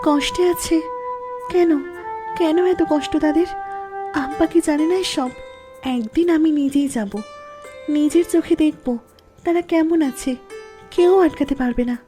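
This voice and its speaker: A kindhearted young princess from a fairy-tale cartoon. Her voice is very high and girlish, curious and earnest. Soft music plays underneath. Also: unhurried, long pauses. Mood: concerned, earnest.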